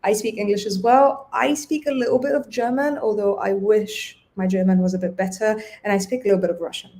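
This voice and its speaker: A woman's voice: mid-range voice, steady tone, brisk, creaky, darkish tone. A young woman from Bulgaria, a native Bulgarian speaker, chatting in an interview about her design work, thoughtful and restarting often. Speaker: guest designer. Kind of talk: casual interview chat.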